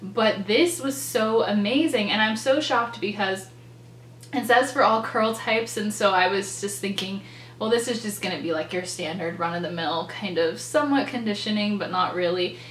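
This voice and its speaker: A woman's voice: mid-range voice, normal speed, a bit bright. A young woman in her twenties chats eagerly to camera about products, her voice a little nasal and stuffed up from a cold, with plenty of ums and likes. She sits in her bedroom and the room gives her voice a noticeable echo, with a faint hum running underneath. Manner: chatty and enthusiastic, many ums and likes. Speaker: beauty vlogger. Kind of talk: casual product review to camera. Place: bedroom, indoors. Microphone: camera in front, seated.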